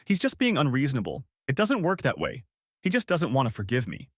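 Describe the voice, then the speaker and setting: A tearful, whiny young wife begs to be forgiven, as a man voices her in a raised pitch. Her voice swings up and down, and the recording is dry and close. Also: huge pitch swings, fairly quick, no room sound. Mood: pleading. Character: distraught pleading young wife.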